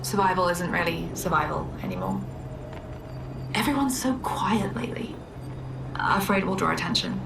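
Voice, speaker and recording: An actress playing a despairing but stubborn bookkeeper recording a wartime audio journal in a video game, subdued, bitter and resigned. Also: female voice, on the low side, lively intonation, normal speed, creaky, long pauses, recorded from a distance, music in the background, rough recording. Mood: resigned, grieving.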